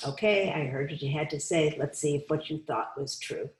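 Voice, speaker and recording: A woman reading a storybook aloud to young children, expressive and coaxing, stopping to put questions to her listeners. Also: sits low, moderate pace, slight background noise. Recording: roomy, echoey sound.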